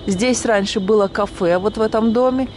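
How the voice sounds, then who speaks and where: A woman vlog host narrating a relaxed walking tour to her viewers, outdoors at night on a handheld camera. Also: mid-range voice, moderate pace. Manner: relaxed guide-like commentary, speaking to viewers as friends. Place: outdoors on a city street at night. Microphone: handheld action camera. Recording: street noise behind.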